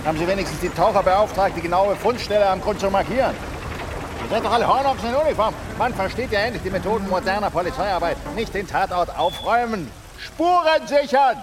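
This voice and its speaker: An actor playing a gruff, condescending police commissioner giving commanding orders in a German radio drama. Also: male voice, lively intonation, fast talker. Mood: irritated, barking. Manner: biting, condescending. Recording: noisy outdoor scene with vehicle rumble.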